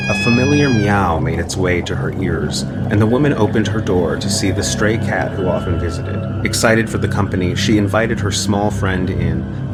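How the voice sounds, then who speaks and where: A man telling a scripted horror story as narrator, with a dramatic, measured delivery. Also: low-pitched. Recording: loud music behind.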